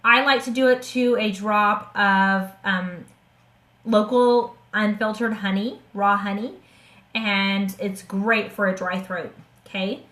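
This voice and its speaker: A woman's voice: mid-range voice, fairly even intonation, slow pace, a bit bright. Chatty, enthusiastic woman in an echoey room. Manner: enthusiastic, chatty, many restarts and fillers. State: hoarse. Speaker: essential oils seller hosting a webinar. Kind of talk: casual live-stream sales talk.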